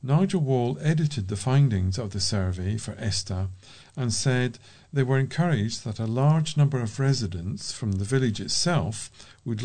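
A man's voice: medium pitch, mellow. A man reading local news items aloud as a volunteer newsreader.

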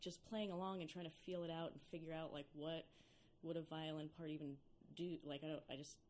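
A young woman with a low speaking voice talks casually about her music, filling her sentences with "like" and "you know". A faint background hiss sits under the voice. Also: steady tone, a little fast, darkish tone. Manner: chatty, many fillers like "like" and "you know". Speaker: violinist and singer in a duo. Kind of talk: casual interview chat.

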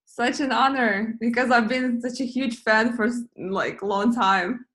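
A woman's voice: fairly high pitch, steady tone, measured pace, fairly bright tone. A woman from Ukraine, a native Russian speaker, hosting a podcast with a giggly, enthusiastic manner. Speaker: podcast host. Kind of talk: casual interview chat.

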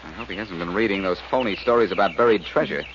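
An actor playing a cool, wisecracking tough detective in a 1940s radio drama, wry and commanding. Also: male voice, deep voice, fast. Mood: intrigued, wry. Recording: muffled, noisy old radio recording.

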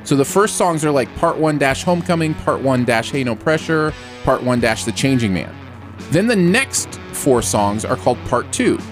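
A man co-hosting a casual music review chat, animated and joking, laughing often. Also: medium pitch, normal speed. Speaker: podcast co-host. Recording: music playing in background.

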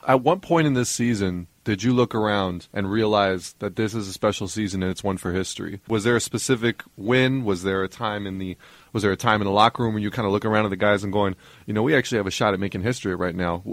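A man's voice: on the low side, fast talker, no room sound. A man, a sports interviewer asking long, wordy questions in a conversational way.

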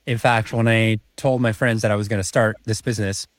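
A man's voice: fairly low pitch, steady tone, fairly quick, dry recording. A man in his thirties, a podcast guest, recounting his path to business school in an earnest way with frequent restarts. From born in China, raised in Prague, moved to Vancouver. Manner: earnest storytelling with many restarts. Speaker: guest, MBA student and finance executive. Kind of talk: podcast interview.

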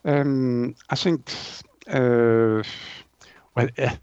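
A man's voice: medium pitch, fairly even intonation, extremely slow, a little mellow, clean tone, dry recording. Hesitant older Swiss French man, dull audio. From Switzerland. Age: 60s. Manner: hesitant, searching for words. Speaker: naturopath and author being interviewed. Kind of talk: conversational interview answers.

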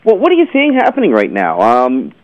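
A male show host on slightly muffled, lo-fi audio, chatting casually with a guest. His pitch moves up and down a lot, and his phrasing is hesitant. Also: huge pitch swings, brisk. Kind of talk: casual interview.

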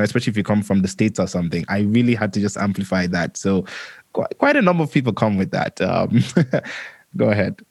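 A man's voice: lowish voice, a little mellow, breathy edge. A man hosting a conversational interview show, talking in an affirming way and echoing points back for emphasis.

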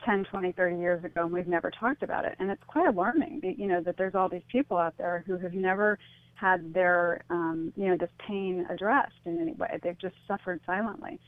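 A woman's voice: lowish voice, fairly quick, no echo. American woman on a phone-like line. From United States. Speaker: interview guest, suicide-loss advocate. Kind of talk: earnest radio interview conversation. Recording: muffled phone-like radio line.

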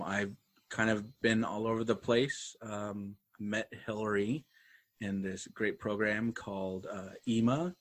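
A young man co-hosting a casual chat, talking easily with many fillers and laughs. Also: fairly low pitch. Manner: chatty, laughing, filler-heavy. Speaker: podcast co-host.

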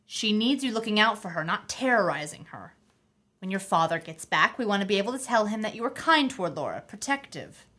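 An actress playing a young mother in her thirties who speaks firmly to her son and gently to her little daughter. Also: medium pitch, very animated, brisk. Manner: firm, gentle maternal. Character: young mother, firm yet tender, steady in crisis.

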